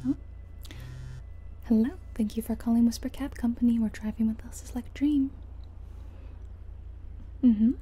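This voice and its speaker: A soft-spoken woman doing an ASMR roleplay as a friendly, chatty taxi driver, in a gentle, whispery delivery. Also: a little high, fairly even intonation, moderate pace, pauses often, slightly rough. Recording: faint background noise of game car sounds. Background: car sounds from a driving game.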